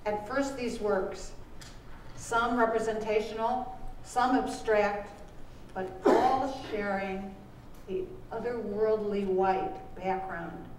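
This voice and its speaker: A woman's voice: medium pitch, fairly even intonation, takes it slowly. Woman reading aloud in an echoey room. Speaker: author reading from their book. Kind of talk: scripted reading at live event. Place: live book launch performance in a room. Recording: echoey room, distant mic, faint noise.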